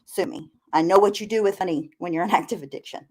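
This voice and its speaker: A woman's voice: fairly low pitch, quick pace, mellow. Blunt, opinionated woman chatting casually. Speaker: panelist on a reaction live stream. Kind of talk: casual gossip chat.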